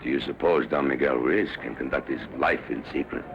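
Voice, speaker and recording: Man acting a deep, courtly Spanish ranch lord. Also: fairly quick, creaky, dull audio, a little hiss or hum. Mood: grave, formal. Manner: formal, grandiloquent. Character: wealthy Spanish ranch owner, courtly, proud husband. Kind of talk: western radio drama acting.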